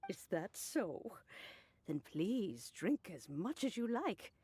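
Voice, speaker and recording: A woman voicing a gentle, elderly female immortal who speaks in a gracious, unhurried, courteous way. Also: medium pitch, very animated, slightly rough, dry recording, full character voice. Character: gentle elderly female adeptus, kind hostess.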